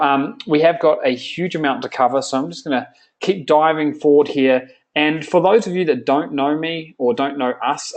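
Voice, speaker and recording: A man in his thirties with a New Zealand accent hosting a live sales webinar, upbeat and salesy with frequent fillers. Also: mid-range voice. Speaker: webinar host.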